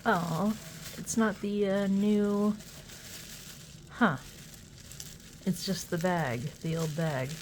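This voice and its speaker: Slow, sniffly woman unboxing a gadget. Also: medium pitch, a little mellow, a little hiss or hum. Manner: hesitant, halting, many fillers. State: sniffly with a cold. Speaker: unboxing video host. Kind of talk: casual unboxing commentary.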